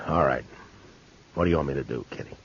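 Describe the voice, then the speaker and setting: Deep, gruff frontier marshal. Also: male voice, fast. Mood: concerned. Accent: American. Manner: terse, plain-spoken, gruff. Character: stern, protective frontier US marshal, adult man. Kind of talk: 1950s radio western drama acting. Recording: dull, noisy 1950s radio recording.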